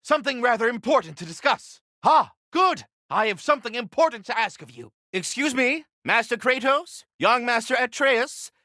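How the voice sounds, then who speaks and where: An actor playing a fussy, verbose, very polite talking squirrel in a video game, with ornate formal diction and theatrical courtesy. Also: male voice, extremely high pitch, lively intonation, moderate pace, hardly any pauses, pushed and bright, no room sound, clean studio sound, full character voice. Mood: excited, urgent. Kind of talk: video game character acting.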